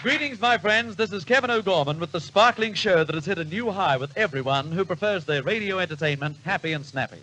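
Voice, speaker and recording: A man's voice: high voice, lively intonation, brisk, hardly any pauses. A man compering a variety show with showy, polished patter in an old-time radio announcing style. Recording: vintage radio recording, faint noise.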